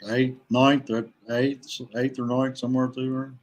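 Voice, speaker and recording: A man from West Virginia chatting casually as a podcast guest, plain-spoken and halting, in no hurry. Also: mid-range voice, level tone. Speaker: podcast guest, veteran kayak angler. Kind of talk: casual chat.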